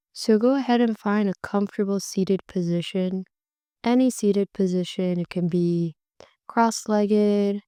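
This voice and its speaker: A woman guides a meditation in an unhurried, gentle way, letting her phrases stretch out. Her voice is mellow and warm, sitting a little low, and comes through very smooth and clear. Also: no room sound, clean studio sound. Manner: slow, soft and soothing, drawn-out phrases.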